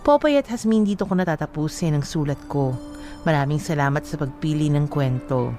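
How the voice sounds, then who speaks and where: A woman's voice: low voice, brisk, mellow, soft background music. A woman co-hosting a radio advice show, reading a listener's letter and then commenting emphatically, mixing in English phrases. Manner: emphatic, mixes English into talk.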